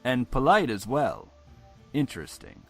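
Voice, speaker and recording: A man's voice: mid-range voice, huge pitch swings, vocal fry, a bit bright, husky, faint music behind, full character voice. Man acting cold, stern father with sweeping intonation. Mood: cold, probing. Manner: stern, measured, condescending. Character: cold, intimidating, testing wealthy father. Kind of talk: visual novel game acting.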